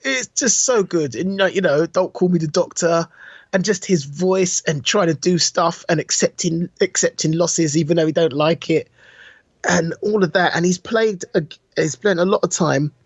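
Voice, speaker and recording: A man's voice: fairly high pitch, normal speed, no room sound. A man chatting casually and enthusiastically as a podcast co-host, with informal, emphatic delivery. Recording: dull, muffled lo-fi audio.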